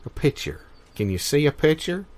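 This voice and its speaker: An actor playing a cantankerous old retired bank robber in an improvised audio comedy, folksy, boastful and easily exasperated. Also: male voice, on the high side, very animated, normal speed, background noise.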